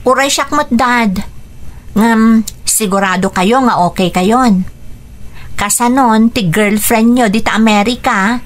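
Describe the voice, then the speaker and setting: An actress playing an affectionate, teasing young daughter in a radio drama, speaking with an Ilocano accent. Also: female voice, a little high, takes it slowly. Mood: concerned, surprised. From Philippines.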